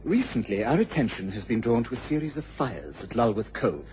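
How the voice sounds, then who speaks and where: Actor as earnest young English inspector, lively intonation. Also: male voice, mid-range voice, fairly quick, natural voice. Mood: earnest, explanatory. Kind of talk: radio drama acting. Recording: dull vintage radio recording, faint noise.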